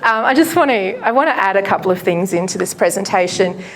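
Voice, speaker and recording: A woman pastor giving a live presentation to an audience at a launch event, loud and chatty, often laughing and poking fun at herself, her microphone cutting in and out. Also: medium pitch, animated, fast talker, rough recording. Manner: loud, chatty and self-mocking; laughs often while talking. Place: event venue.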